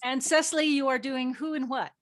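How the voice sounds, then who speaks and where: A woman's voice: high-pitched, fairly even intonation, brisk, fairly bright tone. A woman moderating a panel, giving a scripted introduction with joking, self-deprecating asides and theatrical emphasis.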